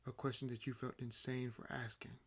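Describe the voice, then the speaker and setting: A man's voice: medium pitch, little pitch movement, fairly quick, breathy edge. A man reading scripted second-person story narration as the narrator of an audio drama.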